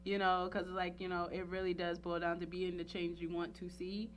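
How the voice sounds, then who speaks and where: A woman's voice: lowish voice, normal speed. Playful, chatty woman radio host, noisy backdrop. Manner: animated, playful, slangy, frequent "like" and "you know". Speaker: radio talk show host. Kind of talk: casual, chatty interview.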